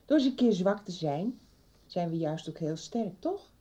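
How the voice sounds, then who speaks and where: A weary middle-aged mother in a radio soap. Her voice is soft-edged and a little husky, with lively intonation. She is polite but firm, protective and consoling. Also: lowish voice, measured pace. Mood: tender, consoling. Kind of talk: naturalistic 1990s Dutch radio soap acting.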